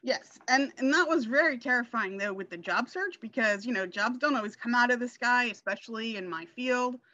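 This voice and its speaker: A woman in her forties talks in a bright, forceful, fairly high voice. She tells personal stories casually, and the call audio is a little dull. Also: moderate pace, no room sound. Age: 40s. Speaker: guest sharing her success story. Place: indoor room, video call.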